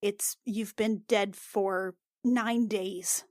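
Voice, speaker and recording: A woman's voice: mid-range voice, fairly even intonation, very slow, a little mellow, dry recording, studio quality. A woman playing a tabletop game, speaking hesitantly and soothingly as her character. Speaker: player. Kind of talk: casual play in a tabletop game.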